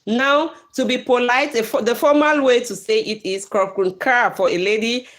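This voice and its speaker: Cameroonian woman, livestream host, bright higher voice, chatty and laughing, pidgin phrases. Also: normal speed. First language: Bakweri. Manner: lively, laughs often, mixes in pidgin phrases. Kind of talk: live stream chat, reading viewer comments.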